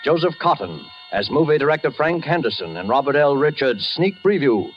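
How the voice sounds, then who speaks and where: A man's voice: a little high, big pitch swings, a little fast. A man announcing a thriller in formal, dramatic 1940s radio style. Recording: dull vintage radio recording, music behind.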